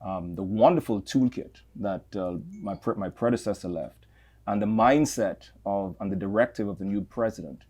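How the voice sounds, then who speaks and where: A middle-aged man with a Trinidadian accent talks about his career as an interview guest. His voice sits low, and he speaks in a reflective, measured way, often repeating a word before he carries on. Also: darkish tone, husky. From Trinidad and Tobago. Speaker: campus leader, guest. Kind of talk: interview conversation.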